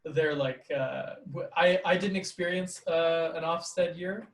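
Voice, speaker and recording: A man talking casually with a friend on a podcast, his speech loose and rambling and full of "like." His voice sits a little higher than most men's, and the room gives it a noticeable echo. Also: slow pace. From Canada. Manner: rambling, many fillers like "like". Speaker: podcast host, teacher. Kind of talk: casual conversation.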